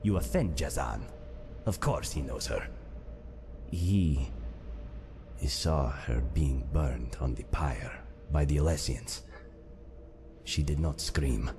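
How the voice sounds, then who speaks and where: A man's voice: a bass voice, takes it slowly, pauses often, background noise, full character voice. A man voicing a wry, fatalistic imprisoned cat-man thief in a fantasy game, speaking of himself in the third person. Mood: solemn. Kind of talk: fantasy game character dialogue.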